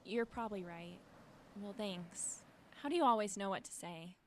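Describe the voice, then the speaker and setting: A woman's voice: mid-range voice, moderate pace, slight background noise, natural voice. A woman playing a grieving, withdrawn teenage girl with a dry, wry manner in an audio drama. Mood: lighter. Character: grieving teenage girl, withdrawn, sardonic, missing her mother. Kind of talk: fiction podcast audio drama acting.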